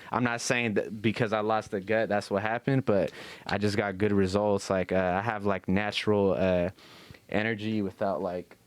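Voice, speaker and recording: A man with a low, clean and smooth voice chats on a podcast. His speech is loose and slangy, with casual swearing and constant checking that the listener follows. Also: no echo. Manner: slangy, profane, frequent "you know what I mean". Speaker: podcast co-host.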